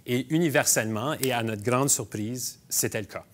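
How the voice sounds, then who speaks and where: A Franco-Manitoban man, a filmmaker, giving a university lecture in a measured way with frequent hesitations and restarts. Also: medium pitch, fairly bright tone. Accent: Franco-Manitoban French. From Manitoba, Canada.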